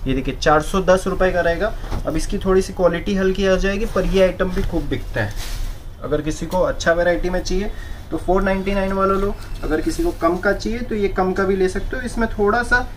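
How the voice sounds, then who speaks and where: A young man selling sarees wholesale in a shop, running a brisk sales pitch and repeating the prices in English. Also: a little hiss or hum. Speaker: saree seller. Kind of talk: wholesale product showcase. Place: indoor saree shop floor.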